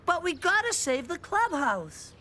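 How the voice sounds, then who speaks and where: A woman's voice: very high voice, sing-song, a little slow, exaggerated voice. A woman voicing a scheming, self-important little boy in a cartoon, cajoling and declaiming to his pals. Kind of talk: cartoon voice acting. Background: cartoon music.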